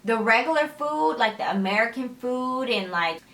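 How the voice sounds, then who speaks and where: A young woman chatting casually to her camera, energetic and sassy, with a tired, slightly raspy voice late at night. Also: on the high side, moderate pace. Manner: energetic, chatty, laughs often, sassy asides. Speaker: vlogger. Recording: echoey room.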